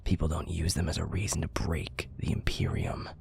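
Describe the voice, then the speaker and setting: A man playing a bitter, cynical werewolf pack enforcer in an audio roleplay, with a husky, gruff, confrontational delivery. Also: a bass voice, flat delivery, normal speed, darkish tone, in a whisper, heavily acted. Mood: angry, embittered. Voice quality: gravelly, husky, breathy. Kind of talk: scripted audio roleplay acting.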